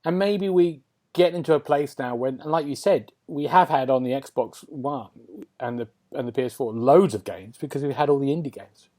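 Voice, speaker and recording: A man's voice: mid-range voice, normal speed, mellow. A man hosting a casual gaming podcast, rambling and thinking aloud, often seeking agreement. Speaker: podcast host.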